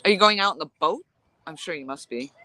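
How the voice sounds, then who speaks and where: Woman, nasal voice, bright and clear tone, chatty rambling vlog talk, outdoors. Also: low-pitched, animated, fast talker, no echo. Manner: chatty, rambling, laughs easily. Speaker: vlogger. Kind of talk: casual chat while fishing. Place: outdoors at a lakeside camp.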